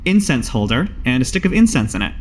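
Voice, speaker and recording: A man acts as game master for a tabletop role-playing game. He improvises his narration in casual, colloquial language, at an ordinary middle pitch. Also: very animated, quick pace.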